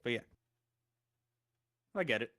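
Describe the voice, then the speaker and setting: A man's voice: unhurried, bright, forceful tone. A man co-hosting a podcast, agreeable and stammering as he restarts his sentences. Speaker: podcast co-host. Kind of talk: casual chat reviewing anime.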